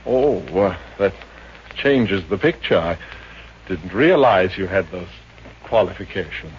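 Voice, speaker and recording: A fussy, self-important male psychiatrist from a 1950s radio comedy. He stammers and flusters, with very lively intonation. The sound is muffled old radio with faint noise. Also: low-pitched, a little slow. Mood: flustered, indignant. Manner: hesitant, stammering, indignant pomposity. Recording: dull, noisy old-time radio recording.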